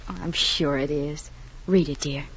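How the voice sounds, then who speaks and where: An actress playing an affectionate, gently teasing young wife in a 1940s radio drama. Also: female voice, low-pitched, fairly even intonation, measured pace, breathy edge. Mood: soft, loving. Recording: noisy, dull vintage radio recording.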